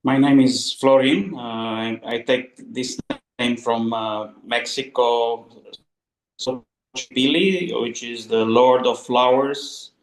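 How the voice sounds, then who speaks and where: Man from Romania on a group call, slow and halting, lots of fillers, roomy echo. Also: mid-range voice, steady tone. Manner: halting, many fillers and pauses. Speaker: call participant.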